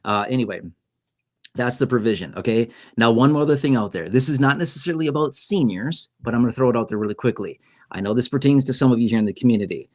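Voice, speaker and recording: A man's voice: mid-range voice, animated, quick pace, a little mellow. A man hosting a casual livestream on YouTube, explaining policy news in a conversational way and often checking in with "okay?" and "right?". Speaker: YouTube host.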